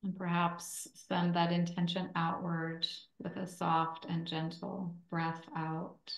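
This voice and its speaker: A woman instructor leading a guided yoga relaxation in a soothing, gentle, deliberate way with long pauses between phrases. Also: fairly low pitch, completely flat delivery, vocal fry, roomy sound, poor audio.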